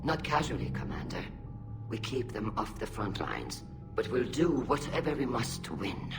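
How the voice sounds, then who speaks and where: An actor playing a resolute, dutiful alien admiral in a video game, speaking in a firm, formal military manner. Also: male voice, on the low side, big pitch swings, normal speed, over music.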